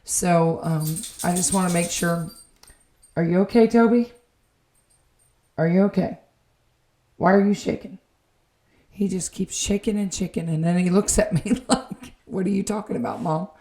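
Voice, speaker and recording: A woman narrating a home garden vlog late at night, slightly raspy and tired, rambling with many ums and self-corrections. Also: on the low side, moderate pace, long pauses, dark tone, reverberant. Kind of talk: late-night voiceover, casual chat. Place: indoor room at home, voiceover.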